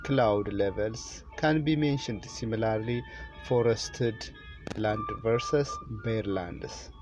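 A middle-aged man from Ethiopia with an Ethiopian accent gives a formal, deliberate prepared speech to camera inside a car. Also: fairly low pitch, over music, poor audio. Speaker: presenter, children's author.

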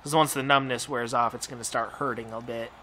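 Young man, bright voice, swearing casually. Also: medium pitch, fairly even intonation, a little fast. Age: young adult. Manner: rambling, swears freely. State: slightly losing his voice, mouth numb. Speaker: gaming channel host. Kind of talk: casual gameplay commentary.

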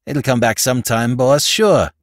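A man voicing an eager, dim small-time crook in an audiobook, with a New York accent and slangy, ungrammatical, excitable talk. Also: mid-range voice, normal speed, dry recording, studio quality, close to an everyday voice.

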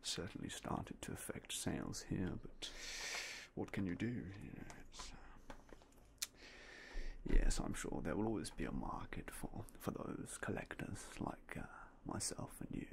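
A young man whispering softly and politely as a game shop clerk in an ASMR roleplay, close to a binaural mic. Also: sits low, animated, unhurried, vocal fry. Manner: quiet, whispery, polite shopkeeper patter.

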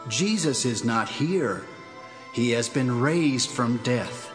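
Angel messenger: solemn, declarative, unhurried, for a scripture audio drama with soft music behind. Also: male voice, mid-range voice, animated. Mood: solemn, proclaiming. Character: solemn male angel bringing holy news.

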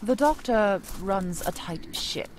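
An actress playing a feisty, skeptical, indignant woman in an audio drama, sharp-tongued and sarcastic. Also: medium pitch, expressive, slow pace, noisy background. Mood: firm.

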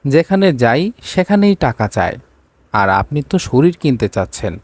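A man's voice: mid-range voice, sing-song, moderate pace, dry recording. Indignant, needy young female job seeker for a story acted by a man, pleading tone, dry sound. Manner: pleading, reproachful. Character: desperate, indignant young woman seeking a job.

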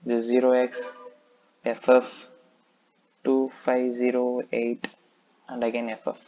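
A man's voice: mid-range voice, monotone, slow, dark tone, lo-fi sound. A man talking through a coding tutorial, explaining step by step and thinking aloud with frequent "okay". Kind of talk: screen-recorded coding tutorial.

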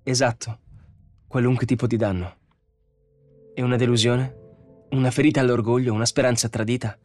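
Man acting tense woman scientist, raised pitch, bitter sarcasm, agitated emphasis, near hysteria, audiobook character. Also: fairly even intonation, fairly quick, darkish tone, no echo, soft background music, natural voice. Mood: sarcastic.

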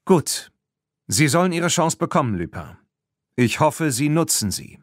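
A man voicing a stern but fair marquis in an audiobook, formal, firm and deliberate. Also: a little high, sing-song, dry recording, studio quality, voice pushed up. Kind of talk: audiobook character reading.

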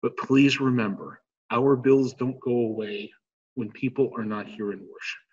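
A middle-aged man leading a church service as pastor, speaking in a slow, measured liturgical way over a webcam. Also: medium pitch, fairly even intonation, darkish tone. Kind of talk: church service prayers and announcements. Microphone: webcam video call. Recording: dull lo-fi webcam audio with long reverb.

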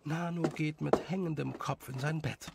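A man's voice: a little high, steady tone, normal speed, creaky, over loud music. A man reading scripted story narration as the narrator of an audio tale.

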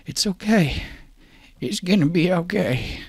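A man's voice: sits high, animated, slow pace, vocal fry, husky, no echo, studio quality, voice pushed up, heavily acted. A man voicing a small elderly woman who soothes crying children. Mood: soothing. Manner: soft, reassuring.

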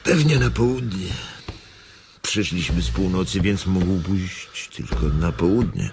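An actor playing an anxious, protective ranch hand in a radio drama, pleading in an urgent, halting way. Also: male voice, deep voice, lively intonation, background noise.

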